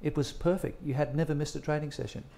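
A man's voice: fairly high pitch, steady tone, quick pace, vocal fry, mellow. Older Australian man hosting on stage. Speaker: interviewer and host. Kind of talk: on-stage interview. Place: stage at an evening event with seated audience.

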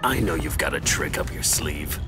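Grim, very deep-voiced vigilante hero. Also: male voice, lively intonation, moderate pace. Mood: stern. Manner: terse, commanding, humorless. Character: grim, stern male vigilante superhero. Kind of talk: video game cutscene acting. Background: loud music.

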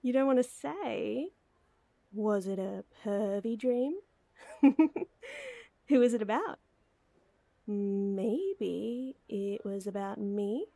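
A woman's voice: fairly high pitch, very animated, extremely slow, vocal fry, pauses often, warm tone, husky, dry recording, exaggerated voice. A woman playing a flustered, lovestruck young woman in an intimate audio roleplay, hesitant and teasing.

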